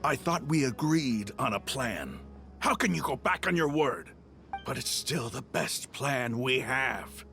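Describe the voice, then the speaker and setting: A man's voice: mid-range voice, very animated, a little slow, bright, forceful tone, soft background music, full character voice. A man voicing a passionate desert mercenary in an anime game, earnest and persuasive, pleading his case expressively. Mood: frustrated.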